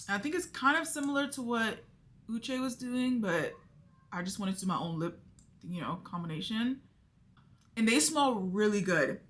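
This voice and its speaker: Young woman beauty vlogger, bright clear voice, rambly and chatty, talks to y'all. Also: medium pitch. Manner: chatty, thinks aloud, addresses viewers as y'all. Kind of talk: casual makeup tutorial chat.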